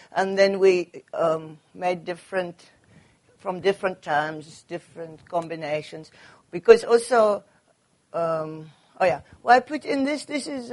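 Slow, halting woman artist lecturing. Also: medium pitch, darkish tone. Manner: halting, many fillers and restarts. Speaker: artist giving a talk. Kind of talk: hesitant lecture on her paintings.

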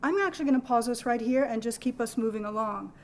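A woman's voice: fairly high pitch, fast talker, darkish tone, a little hiss or hum. Woman guiding a museum discussion. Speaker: museum educator leading a discussion. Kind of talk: gallery talk to a group.

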